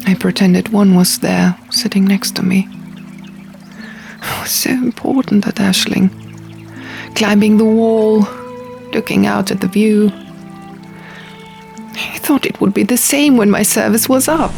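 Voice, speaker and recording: A woman narrates a fantasy audiobook in a dramatic first-person style. Her voice is mid-pitched with noticeable creak, and music plays softly behind her. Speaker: audiobook reader.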